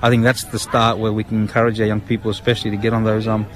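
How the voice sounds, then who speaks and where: An Australian man answering interview questions earnestly, halting with many fillers and restarts, recorded outdoors at a public event. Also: lowish voice, completely flat delivery, slight background noise. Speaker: guest, national committee member. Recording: outdoor event with crowd murmur.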